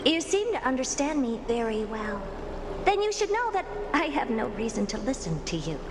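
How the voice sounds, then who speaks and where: A woman's voice: a little high, sing-song, moderate pace, background noise. An actress playing a haughty, self-assured young woman scientist in a video game, speaking in an imperious, theatrical and impatient manner. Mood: cool, challenging. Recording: loud music under the voice.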